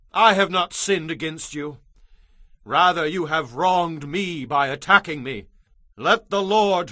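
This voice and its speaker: High, bright-voiced indignant warrior commander. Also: male voice, dry recording, studio quality, heavily acted. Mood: indignant challenge. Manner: forceful, declamatory. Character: indignant warrior commander arguing his people's case. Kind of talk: dramatized audio Bible acting.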